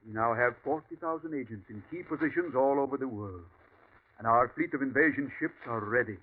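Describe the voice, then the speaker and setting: An actor playing a calm, courteous Martian invader who speaks with cold condescension in an old radio drama. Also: male voice, mid-range voice, a little fast, husky, natural voice. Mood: smooth menace. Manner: suave, courteous, coldly condescending. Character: calm superior male Martian invader posing as ranch host. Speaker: villain. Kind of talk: 1940s radio acting. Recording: tinny old radio broadcast with faint hiss.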